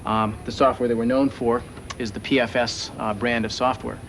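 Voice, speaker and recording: A man speaking as a software company spokesman in an informal promotional testimonial. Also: mid-range voice, little pitch movement, moderate pace, slight background noise.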